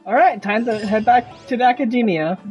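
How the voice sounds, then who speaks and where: A male game streamer with a quite high voice comments casually as he plays. Faint game music sits behind him. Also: brisk, muffled recording. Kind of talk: casual live gameplay commentary.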